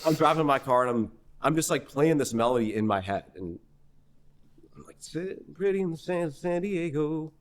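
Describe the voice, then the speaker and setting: A young man from New Hampshire, a singer-songwriter guest in a podcast interview, telling a story in a rambling, animated way full of restarts. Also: fairly high pitch, moderate pace. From New Hampshire, USA. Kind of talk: casual conversational interview.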